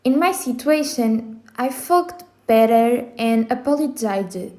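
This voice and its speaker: A woman's voice: a little high, lively intonation, takes it slowly, a little mellow. A sixteen-year-old female student, giving prepared answers. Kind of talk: prepared opinion statement.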